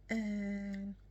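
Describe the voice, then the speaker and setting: A young woman speaks with a strong Yorkshire accent in a casual, whispery get-to-know-me vlog, full of ums and the odd hiccup. Her pitch stays flat and even. A faint noise sits under her voice. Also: muffled recording. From West Yorkshire, England. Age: young adult. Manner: soft, frequently whispery delivery with many ums. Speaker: vlogger.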